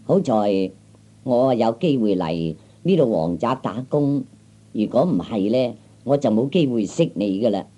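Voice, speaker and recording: A glib young Cantonese chancer in a sixties radio comedy. His voice is dark and soft-edged, swinging widely in pitch as he wheedles and stammers his way along. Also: expressive, a little slow. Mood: chatty, pleased. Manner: stammering, chuckling, obsequious. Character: glib, wheedling young man, broke bodyguard and fiancé.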